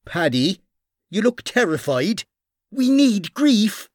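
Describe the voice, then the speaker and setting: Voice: mid-range voice, sing-song, slow pace, no echo, studio quality, pitched above the performer's own voice. A performer voicing a brash, conniving and sardonic policeman in an audiobook, with a theatrical, commanding manner. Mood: urgent, bossy.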